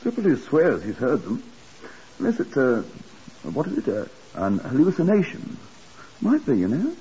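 Pompous hotel boss, 1950s radio drama. Rough, husky, dismissive, dull old broadcast sound. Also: male voice, medium pitch, huge pitch swings, moderate pace, dark tone, exaggerated voice. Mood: grudging. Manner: dismissive, imperious. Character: pompous, scornful hotel manager.

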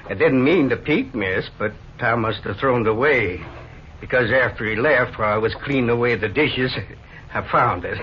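An actor playing a nosy, folksy cafe owner in a 1950s radio western, chatting haltingly. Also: male voice, medium pitch, lightly acted. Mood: sheepish, chatty. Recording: muffled, hissy 1950s radio recording.

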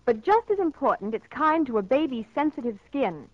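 A woman's voice: sits high, sing-song, a little fast, no echo. A woman presenting a scripted 1940s radio commercial in a neat, instructive way. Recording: dry, dull 1940s radio recording.

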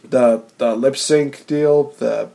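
A man hosting a podcast, chatting casually, excitable and laughing often, with frequent restarts. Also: medium pitch, takes it slowly, gravelly. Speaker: podcast host. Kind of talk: casual chat.

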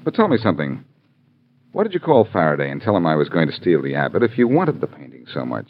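An actor playing a confident, wisecracking sleuth and thief in a brisk, teasing 1940s radio crime drama. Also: male voice, sits low, huge pitch swings, full character voice. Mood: confident, pressing. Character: cocky, wisecracking male amateur sleuth and thief. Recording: muffled 1940s radio recording.

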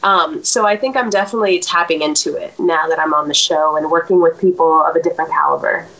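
A woman's voice: low-pitched, quick pace, a bit bright, dull audio. A woman in her thirties, a singer, talking reflectively in a casual interview with many fillers and restarts.

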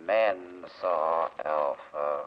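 An actor playing a cold, proud killer robot with flat, halting speech in a 1940s radio drama. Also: male voice, very deep voice, full character voice. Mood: flat, obedient. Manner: flat, halting speech, refers to itself in third person. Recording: dull old radio recording.

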